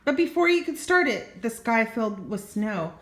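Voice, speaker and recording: Older woman reading a storybook, higher voice. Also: normal speed. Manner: expressive, with small asides to the child. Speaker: grandmother reading to a child. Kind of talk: children's storybook read-aloud. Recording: echoey room.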